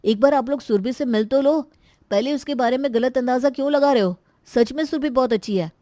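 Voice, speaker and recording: A woman's voice: sits high, brisk, dark tone, no echo, studio quality, natural voice. A woman playing an earnest young adult in a cartoon moral story, speaking in a protesting, defensive manner.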